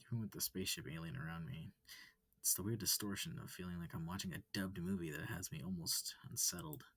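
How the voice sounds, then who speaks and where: Soft husky man reading a story. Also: low voice, animated, fast talker, no room sound. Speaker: reader. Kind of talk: cozy visual novel narration.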